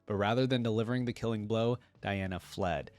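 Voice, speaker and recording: A man's voice: on the low side, brisk, darkish tone, soft background music. A man hosting a reaction video in an informal way, reading text aloud and dropping in short casual asides. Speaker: host of a fan reaction channel.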